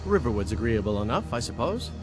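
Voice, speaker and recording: Guy doing snooty lovesick wood elf from a video game, very animated and scornful, music behind. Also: medium pitch, quick pace, close to an everyday voice. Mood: condescending, wistful. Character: haughty wood elf mill worker, jealous and lovelorn. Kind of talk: fantasy video game acting.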